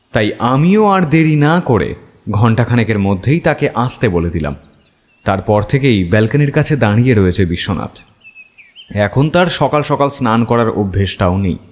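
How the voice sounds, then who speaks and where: A man playing a detective's wry, chatty companion who narrates the case as an expressive first-person story. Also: mid-range voice, long reverb tail, lightly acted.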